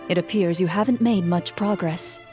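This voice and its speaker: A woman voicing a composed, formal game master who narrates a story in measured tones in a video game. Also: fairly low pitch, music in the background, natural voice.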